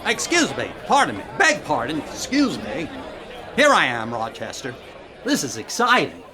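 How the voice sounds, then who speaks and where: An actor playing a fussy, prissy older comedy star with polite, theatrical timing in a classic radio show. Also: male voice, mid-range voice, huge pitch swings, normal speed. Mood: excited, polite. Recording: noisy crowd ambience behind the voice.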